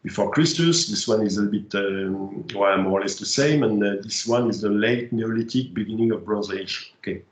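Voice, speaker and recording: A man's voice: fairly low pitch, moderate pace, few pauses. Guy giving a science talk, lots of uh and restarts, echoey room. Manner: hesitant, many fillers and restarts. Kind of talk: academic presentation with slides.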